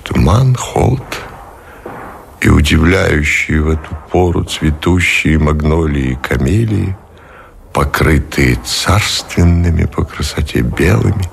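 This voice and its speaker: An actor playing a grave, courtly middle-aged Russian admiral in love, in measured, tender radio drama style. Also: male voice, a bass voice, creaky, a little hiss or hum, full character voice. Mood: wistful.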